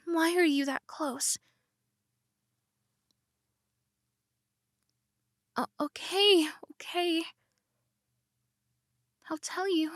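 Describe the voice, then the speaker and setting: A woman voicing a flustered tsundere tomboy princess in an ASMR roleplay, stammering and slipping from soft whispers into indignant protests. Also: high-pitched, dry recording, clean studio sound. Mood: shy.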